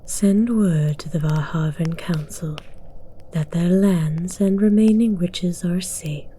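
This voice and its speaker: A woman plays a possessive dragon queen, low and very slow, speaking in breathy whispers. Every phrase is regal and deliberate, a seductive command in an ASMR roleplay. The tone stays dark and soft, with faint noise behind it. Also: low-quality recording.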